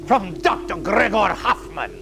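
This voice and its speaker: A man's voice: high-pitched, lively intonation, a little slow, gravelly, music in the background, heavily acted. An actor playing a gloating, sneering prison doctor in a video game cutscene, pompous and theatrical, drawing out his taunts.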